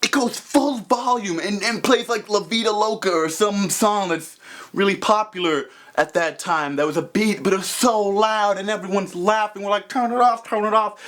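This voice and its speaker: Loud animated young man telling a story. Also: high-pitched. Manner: animated, swings between mumbled asides and loud exclaims. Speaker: vlogger. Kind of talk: comedic storytelling vlog.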